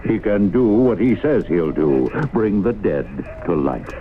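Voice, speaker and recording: An actor playing a gruff, wary American small-town mayor in a 1970s radio drama, speaking in a folksy, plain-spoken, hesitant way. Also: male voice, fairly low pitch, very animated, unhurried. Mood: guarded, firm. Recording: narrow-band, noisy old radio recording.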